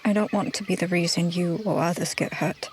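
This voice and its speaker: A woman playing a guarded, haunted adventurer in tabletop roleplay, speaking in a measured, hesitant, grave way. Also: lowish voice, steady tone, a little hiss or hum, lightly acted. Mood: guarded, pleading.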